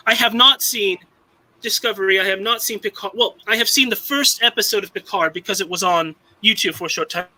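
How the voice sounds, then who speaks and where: A man's voice: high voice, fairly quick, bright, forceful tone, low-quality recording. A young man from Southern California chatting casually on a live stream, rambling with many restarts and fillers. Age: young adult. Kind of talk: casual chat.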